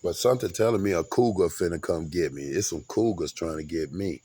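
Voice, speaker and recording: A man's voice: low voice, brisk, mellow, breathy edge. A man in his forties talking in a rambling, grandiose monologue, often repeating and restarting his words. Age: 40s. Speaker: vlogger.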